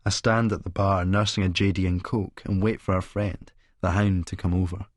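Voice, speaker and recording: Low-voiced sardonic Scottish male narrator. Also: little pitch movement, normal speed, no room sound, clean studio sound. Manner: sardonic, sneering. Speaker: audiobook narrator. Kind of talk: first-person scripted narration.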